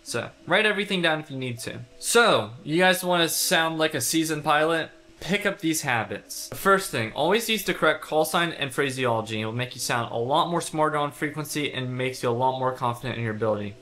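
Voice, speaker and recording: Bright-voiced young man teaching casually, music behind. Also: fairly high pitch, expressive, fairly quick, hardly any pauses. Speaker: instructor, video host and pilot. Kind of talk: casual instructional video talk to camera.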